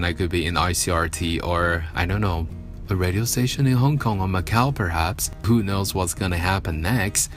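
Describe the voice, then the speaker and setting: A man hosting a casual evening radio show alone, chatty and self-mocking, rambling between songs. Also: sits low. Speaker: radio host. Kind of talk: casual solo radio talk. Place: radio studio. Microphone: studio mic.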